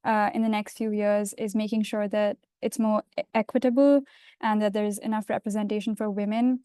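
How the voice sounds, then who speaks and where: A woman in her twenties from India, a university student, speaking in a measured, soft-spoken way on a panel. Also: medium pitch, level tone, clean tone, dry recording, studio quality. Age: 20s. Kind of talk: panel introduction.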